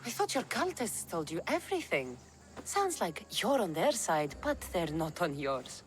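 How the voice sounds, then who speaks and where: An actress playing a tough, defiant warrior in a video game, earnest and protective, with a direct, dramatic delivery. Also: female voice, fairly low pitch, sing-song, normal speed, slight background noise. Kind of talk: video game cutscene acting.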